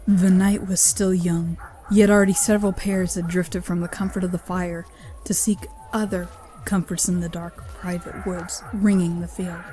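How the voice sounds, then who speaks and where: A woman's voice: fairly low pitch, mellow, rough recording. A woman giving scripted narration of a horror story as its narrator. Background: music.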